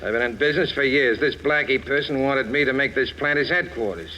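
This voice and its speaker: Male actor for crooked businessman, protesting and formal, sharp tone, lo-fi 1940s radio drama. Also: medium pitch, a little fast, natural voice. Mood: indignant. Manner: formal, indignant, insistent. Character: smooth-lying, indignant crooked businessman, secret racket boss. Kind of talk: 1940s American radio acting. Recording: dull old radio recording, faint noise.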